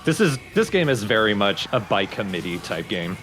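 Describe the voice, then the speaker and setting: A man with a fairly low voice co-hosts a casual let's play. He explains and critiques at length in an opinionated way. Faint game music plays behind him. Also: sing-song, moderate pace, a bit bright. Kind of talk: casual game commentary with friends. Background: video game music.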